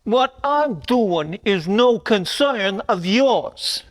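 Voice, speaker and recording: Slow, high-voiced gangster thug acted by a man. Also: lively intonation, full character voice. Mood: threatening, curt. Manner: tough-guy slang with rough grammar. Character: menacing, beefy male 1940s gangster thug, blunt and hot-tempered. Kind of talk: 1940s radio noir parody acting.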